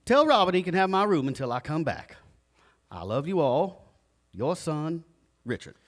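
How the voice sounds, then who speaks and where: A man's voice: a little high, big pitch swings, normal speed. An actor playing an earnest young adventurer reading out his letters home in a live stage drama, plain-spoken and declamatory. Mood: eager.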